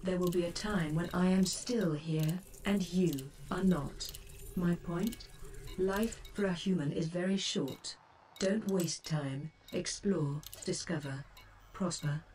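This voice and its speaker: A woman's voice: fairly low pitch, slow pace, a little mellow. A woman playing a composed, philosophical shipboard artificial intelligence in a space game, speaking formally and instructively. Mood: solemn, instructive. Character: composed female ship artificial intelligence companion, advising and philosophical. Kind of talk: scripted game voice pack lines. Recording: distant, noisy game stream capture.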